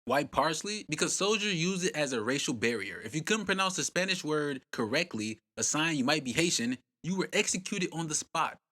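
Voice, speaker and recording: A man's voice: fairly high pitch, lively intonation, brisk, hardly any pauses, pushed and bright. A man host narrating a scripted history documentary in a punchy, dramatic way with rhetorical questions.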